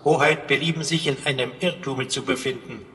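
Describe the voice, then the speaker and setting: An actor playing a servile, pompous computer assistant with flowery, mock-courtly speech in a German sci-fi radio play. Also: male voice, mid-range voice, fairly even intonation, a little fast, bright, forceful tone. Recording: long synthetic reverb.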